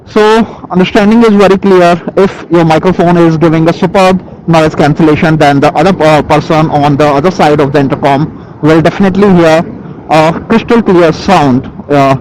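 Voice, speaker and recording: A man with an Indian accent giving a casual, halting product review as a motovlogger, speaking into a helmet intercom microphone. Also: fairly high pitch, darkish tone, low-quality recording. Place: outdoors, riding a motorcycle. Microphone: Bluetooth helmet intercom microphone. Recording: helmet intercom mic with vehicle noise.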